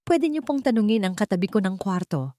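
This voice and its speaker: A shy housemaid in a romantic audio drama, played by a woman narrator, pleading her innocence. She is polite but distressed, her pitch lifted, in a dry recording. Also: lively intonation, brisk, dark tone, no room sound, natural voice.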